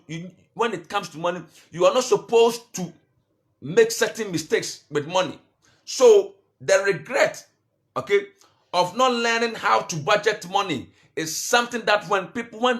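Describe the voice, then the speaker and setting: Man coaching with halting, repeated restarts. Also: a bit bright. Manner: repetitive, halting restarts, rhetorical questions; rises in pitch and force when urging. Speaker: finance coach. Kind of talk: motivational talk to camera.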